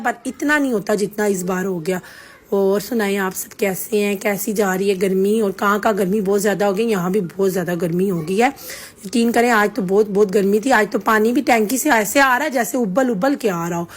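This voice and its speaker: A hoarse woman with a sore throat, vlogging casually and earnestly giving her opinion straight to her viewers. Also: fairly high pitch. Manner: chatty and earnest, addressing viewers directly.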